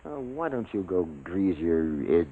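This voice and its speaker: A man's voice: deep voice, big pitch swings, measured pace, heavily acted. A man playing an agitated, sarcastic military major who doubts his own sanity, emphatic, in a 1950s radio drama. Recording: noisy narrow old radio recording.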